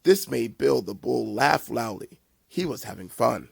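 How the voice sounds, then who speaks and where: A man reading a children's storybook aloud in a deliberate, theatrical way for young listeners. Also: medium pitch, lively intonation, creaky, a little mellow, husky, no room sound, studio quality. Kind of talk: animated storybook narration.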